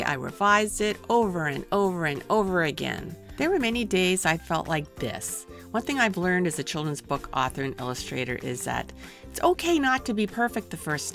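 A woman, a children's author presenting a picture book in an expressive, clearly enunciated storytelling way. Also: medium pitch. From Canada.

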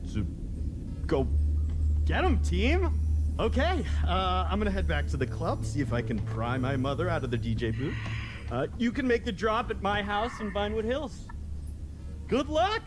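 A man's voice: high voice, lively intonation, normal speed, pauses often. An actor playing a brash, childish rich young man who thinks he is a crime boss, rambling and swearing in a game cutscene. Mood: cocky. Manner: swaggering, foul-mouthed, rambling bro talk. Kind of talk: video game cutscene acting. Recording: music behind the voice.

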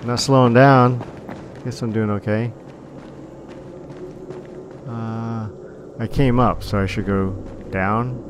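A man casually commenting while playing a game, musing and joking in slow asides. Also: medium pitch, fairly even intonation, noisy background. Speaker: game streamer. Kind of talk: casual let's-play commentary. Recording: voice over game music.

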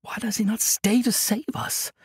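A man's voice: sits high, fairly even intonation, slightly rough, no room sound, clean studio sound, pitched above the performer's own voice. A man voicing a nervous young warrior who speaks anxiously. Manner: anxious, halting.

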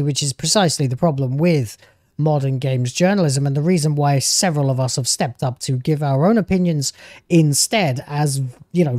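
A man's voice: on the high side, a little mellow, no room sound, clean studio sound. A man in his thirties streaming from home on a headset mic, reacting to video clips in casual, argumentative commentary. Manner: argumentative, talks back at the clips.

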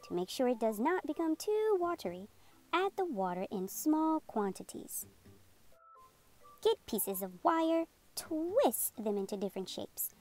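A woman narrating a children's read-aloud in an expressive, deliberate storytelling manner. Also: high voice. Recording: music behind the voice. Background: music.

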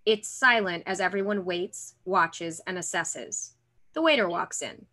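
A woman's voice: fairly low pitch, unhurried, sharp tone. A young woman reading stage directions as scripted narration over a video call. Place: room at home.

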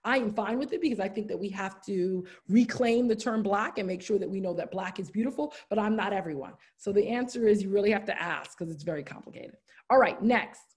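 A woman's voice: medium pitch, a little fast. A woman in her thirties, raised in the United States, leading an online diversity training and answering chat questions in an earnest, encouraging way. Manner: earnest, warm, repeats "wonderful" and "right?". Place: indoors, room with brick wall. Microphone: laptop webcam, video call.

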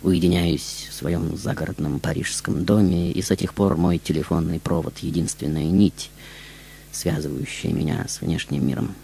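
A man playing an aged, reclusive female film star who recounts her life in a deliberate, declamatory stage monologue. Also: sits low, lively intonation, gravelly, mellow, a little hiss or hum, full character voice. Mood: solemn, wry. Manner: declamatory, deliberate, addressing the audience. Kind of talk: theatrical monologue, stage storytelling.

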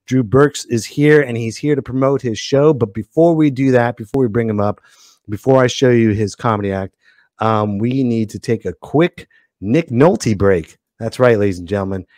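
A man's voice: medium pitch, moderate pace, dry recording. A man in his thirties hosting a comedy show in a casual, exclamatory way, speaking close to a podcast microphone. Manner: animated, exclamatory. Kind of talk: casual comedic monologue and interview. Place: indoor home studio, dry. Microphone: close podcast microphone, headset.

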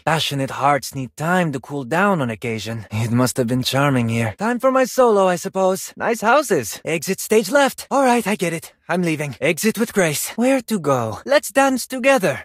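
A vain Spanish ballet dancer from a video game. His voice is husky and swings widely in pitch, with a Spanish accent and a theatrical, self-admiring flourish. The sound is dry and close. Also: no room sound. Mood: spirited. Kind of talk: video game character voice lines.

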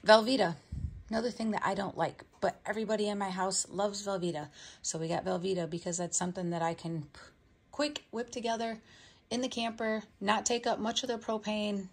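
A woman's voice: mid-range voice, fairly even intonation, a little fast. A middle-aged woman, chatting casually and matter-of-factly in a rambling home vlog. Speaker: home vlogger. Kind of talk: casual chat, showing a grocery haul.

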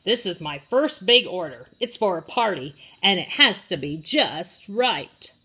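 A woman storyteller plays an excitable man who runs an ice cream shop in a children's storybook. He is emphatic and exclaims his lines, with pitch that swings widely. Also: unhurried, husky, exaggerated voice. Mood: busy. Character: Mister Herb, excitable male ice cream shop owner.

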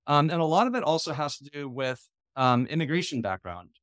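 Measured, thoughtful man lecturing on a video call. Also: a little high, animated, fairly bright tone, no room sound, studio quality. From United States. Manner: measured, thoughtful, with frequent ums and restarts. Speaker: conference lecturer. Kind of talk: online lecture leading a discussion. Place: small indoor room. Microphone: video call webcam.

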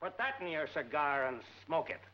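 An actor playing a hard, threatening older crooked boss with a sneering, domineering manner in a 1940s film. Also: male voice, on the high side, expressive, brisk, lightly acted. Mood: gloating threat. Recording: dull 1940s film soundtrack with music.

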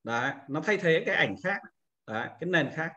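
A man's voice: on the high side, expressive, fairly quick, sharp tone. A man narrating an informal step-by-step screen-share tutorial as an instructor, explaining and often adding short confirming tags.

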